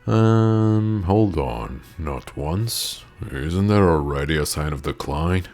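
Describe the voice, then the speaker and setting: Man, gamer, native Italian speaker, extremely deep voice, lively intonation, playful, casual swearing. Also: slow. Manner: playful, laughs at his own lines, swears casually. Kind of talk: let's play, reading dialogue aloud.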